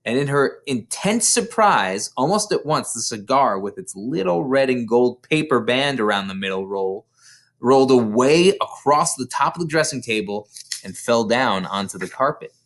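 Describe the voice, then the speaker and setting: A man reads a children's story aloud as a storyteller. His pitch is very lively and moves widely, giving the narration an expressive, dramatic shape. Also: normal speed. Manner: dramatic, animated storyteller delivery. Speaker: storybook reader.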